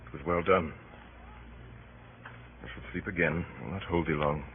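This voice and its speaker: An actor playing a grave, weary Roman nobleman who speaks gently, in measured, solemn verse in the old radio Shakespeare manner. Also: low voice, steady tone. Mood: tender, weary. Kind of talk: 1930s radio Shakespeare acting. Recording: muffled, noisy old radio recording.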